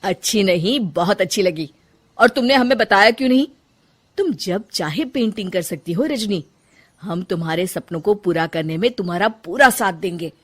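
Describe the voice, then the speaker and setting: A medium-pitched middle-aged mother-in-law played by a woman storyteller. She is kindly and teasing, speaking in emphatic, motherly exclamations in a domestic story. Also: expressive, normal speed, a bit bright, husky. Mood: warm, supportive. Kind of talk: acted dialogue in narrated Hindi family story.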